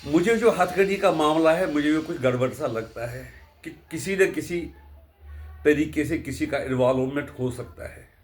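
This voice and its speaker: A man gives unscripted political commentary in a deliberate, halting way, often posing rhetorical questions. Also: fairly high pitch. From India.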